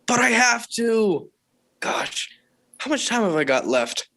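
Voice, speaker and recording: Fifteen-year-old son, 1940s radio sitcom. Indignant, pleading, slangy teen delivery. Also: male voice, extremely high pitch, very animated, takes it slowly, sharp tone, full character voice. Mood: eager. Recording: vintage 1940s radio broadcast.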